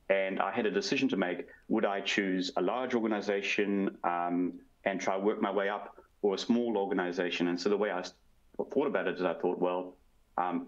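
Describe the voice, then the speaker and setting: A man in his forties with a South African accent and a very low, deep voice speaks over a headset on a video call. He answers interview questions at length, fluent but filling pauses with ums and uhs. The call audio sounds a little dull and muffled. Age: 40s. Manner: fluent, with frequent ums and uhs, gesturing with hands. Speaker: guest, product strategy manager. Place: home room.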